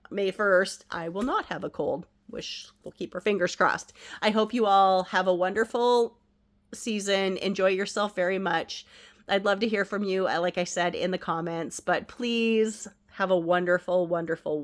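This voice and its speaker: A middle-aged woman speaks gently and soothingly from home, leading an oracle card reading. Her voice is congested and nasal from a head cold, and she lets her words stretch out slowly. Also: medium pitch. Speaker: oracle card reader, channel host. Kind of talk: casual spiritual card reading. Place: at home, indoors.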